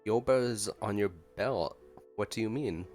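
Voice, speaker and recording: A man's voice: lowish voice, unhurried, a little mellow, faint music behind. A man giving casual, playfully joking reaction commentary as a gaming streamer.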